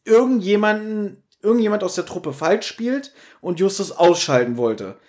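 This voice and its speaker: Male podcast co-host, slightly high voice, casual and animated retelling. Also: moderate pace. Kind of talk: casual chat reviewing an audio drama.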